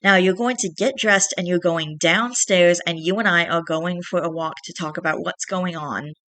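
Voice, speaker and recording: A woman's voice: lowish voice, moderate pace, fairly bright tone, lightly acted. A woman voicing a stern, cold mother with a serious, commanding manner in a story read aloud. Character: stern, cold, controlling mother of a grown son.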